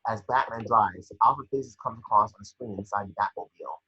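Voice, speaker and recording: A man with a husky voice reading scripted scene narration at an online table read. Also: on the low side, a little fast, lo-fi sound. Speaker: scene description reader.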